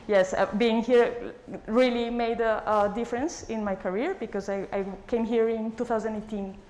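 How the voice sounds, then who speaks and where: Excited woman lecturer from Colombia. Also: medium pitch, slight background noise. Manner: excited, friendly, laughs. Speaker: guest lecturer. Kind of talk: lecture opening. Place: lecture room.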